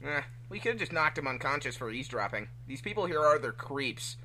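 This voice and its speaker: A man's voice: on the high side, big pitch swings, fairly quick, pushed and bright, slight background noise, low-quality recording. A man playing a brash, grumbling young hedgehog hero with a casual, whiny delivery in an amateur fan fiction reading. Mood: whiny, annoyed.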